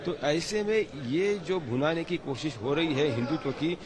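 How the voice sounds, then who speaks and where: A man's voice: fairly high pitch, measured pace. A man giving a live political news report as a field reporter. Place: outdoors at a rally site. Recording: outdoors with crowd noise behind.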